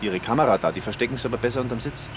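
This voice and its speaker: An actor, chatty and explanatory. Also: male voice, medium pitch, very fast, natural voice. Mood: confidential, brisk. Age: young adult. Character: brisk, casual young male rocket engineer boss. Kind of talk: radio play dialogue. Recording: noisy, with car and machinery ambience.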